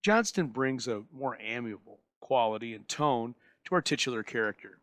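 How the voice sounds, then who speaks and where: A man's voice: mid-range voice, lively intonation, normal speed, a bit bright, no echo. A man reading scripted narration as the host introducing an old radio programme.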